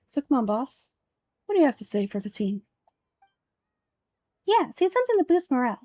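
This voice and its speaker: A woman's voice: sits high, big pitch swings, normal speed, warm tone, no echo. A woman voicing a brash, blunt, hearty mercenary in a game script, teasing and outspoken. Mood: prodding.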